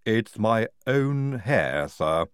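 A man doing a calm, lisping young woman surgeon. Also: fairly low pitch, smooth, no room sound, clean studio sound, full character voice. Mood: matter-of-fact. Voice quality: slight lisp. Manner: slow, polite, matter-of-fact. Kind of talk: audiobook character voice.

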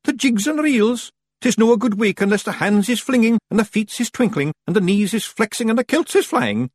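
A man voicing an excitable little male fairy clan leader with a Scottish accent, nervous and boastful, in an audiobook. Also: sits high, brisk, no room sound, clean studio sound, pitched above the performer's own voice. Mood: boastful, lively. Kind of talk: audiobook character voice.

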